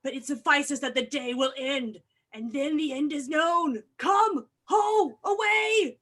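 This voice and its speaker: A woman's voice: sits high, takes it slowly, a bit bright. A woman playing a grave, resolute doctor in a Shakespearean staged reading, speaking in solemn, declamatory verse.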